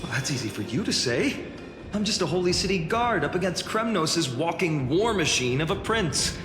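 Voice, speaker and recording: A man's voice: fairly high pitch, very animated, normal speed. A man acting an earnest young guard hero in a video game, speaking theatrically. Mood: playful, confident. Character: young male holy city guard, earnest hero haunted by loss. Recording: echoey reverb, music behind.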